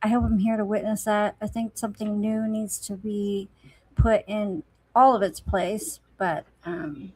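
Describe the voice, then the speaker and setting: A woman's voice: medium pitch, steady tone, measured pace, a little mellow, husky, no room sound, rough recording. A woman chatting casually about her beliefs in a reflective, plain-spoken and opinionated way. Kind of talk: casual spiritual conversation.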